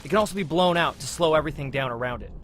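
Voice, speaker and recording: A man presenting a game walkthrough as its producer, in scripted demo narration. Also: rapid-fire. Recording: noisy low-quality promotional video audio.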